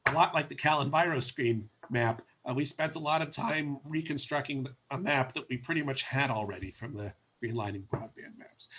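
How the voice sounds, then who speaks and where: A man's voice: on the high side, pushed and bright, slightly rough. A man with a cold, sounding stuffy, giving a conversational webinar presentation with frequent ums and uhs. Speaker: policy presenter.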